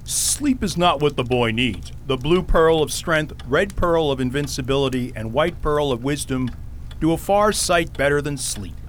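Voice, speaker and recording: A man as a high-voiced pompous goat king. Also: lively intonation, normal speed, a little hiss or hum, natural voice. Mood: haughty. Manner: florid, theatrical, old-fashioned wordy speech. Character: pompous, foppish, rhyming goat king, adult male. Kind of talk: radio theater acting.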